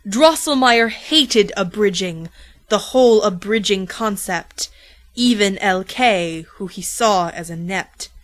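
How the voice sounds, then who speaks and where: A woman reads a rhyming parody storybook as its narrator, slowly and theatrically. She is playful and dramatic, leaning on key words, with music underneath. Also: mid-range voice. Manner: dramatic, playful, drawn-out emphasis on repeated words.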